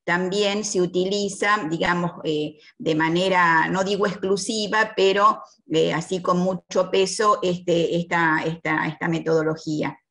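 A woman's voice: fairly low pitch, steady tone, measured pace, few pauses, a bit bright. A woman with an Argentine accent asking a question on a video call, searching for words with fillers. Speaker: conference attendee asking a question.

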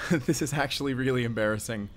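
Guy acting awkward friendly dad neighbor, rambly n apologetic, medium pitch, audio roleplay. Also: a little fast, slight background noise, lightly acted. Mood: flustered. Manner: flustered, apologetic, chatty with nervous chuckles. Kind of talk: audio roleplay addressed to the listener.

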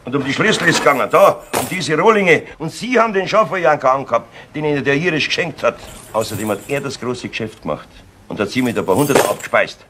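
Man acting a brusque Bavarian police inspector, animated. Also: medium pitch, natural voice. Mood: accusing, firm. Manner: blunt, interrogating, deliberate emphasis. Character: authoritative male Munich police inspector, brusque and persistent. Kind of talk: 1970s German radio crime drama acting. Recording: noisy 1970s radio play recording.

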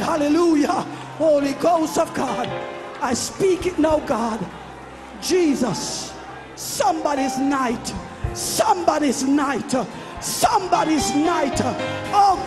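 A man preaching and praying in a fervent, declamatory charismatic style, repeating phrases for emphasis. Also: extremely high pitch. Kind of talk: fervent charismatic sermon and prayer. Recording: live worship service with music and crowd. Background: music and congregation.

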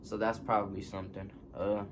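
Twenties male vlogger, low-pitched, casual and unhurried as he fields questions from home. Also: noisy background. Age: 20s. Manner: relaxed, reads questions aloud then answers offhand. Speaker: content creator answering fan questions. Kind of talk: casual Q&A vlog. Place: living room, seated on a couch.

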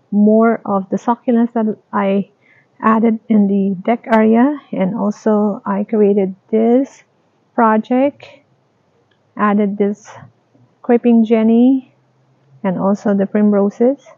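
A woman giving a casual tour of her garden, talking in a slow, halting, plain way. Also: medium pitch, dry recording. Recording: dry, tinny phone-like sound.

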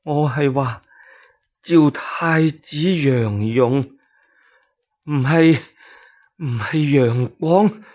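A man voicing a sick, enraged old emperor in a radio storytelling drama, halting and groaning as he repeats himself. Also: on the high side, a little mellow, lo-fi sound. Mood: urgent.

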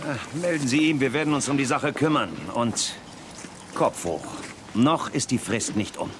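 A man playing a calm, fatherly Western sheriff. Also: medium pitch, normal speed, background noise, natural voice. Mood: resolute, reassuring. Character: seasoned, calm, fatherly Wild West sheriff. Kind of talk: German children's radio play acting.

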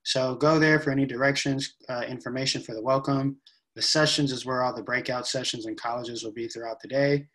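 A young man giving a relaxed step-by-step tutorial, with frequent ums, recorded on a laptop webcam in a room at home. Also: medium pitch, fairly quick, rough recording. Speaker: event staff giving a tutorial. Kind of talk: casual screen-share walkthrough.

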